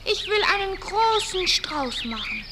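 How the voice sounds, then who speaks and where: A woman's voice: extremely high pitch, big pitch swings, a little slow, very noisy, full character voice. A woman playing a sweet, cheerful little girl in a fairy-tale audio play, with an earnest, naive delivery. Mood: delighted.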